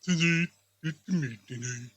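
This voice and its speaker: A man's voice: a little high, unhurried, fairly bright tone, husky, no echo. A man voicing a solemn spirit who gives grave warnings in a storybook reading.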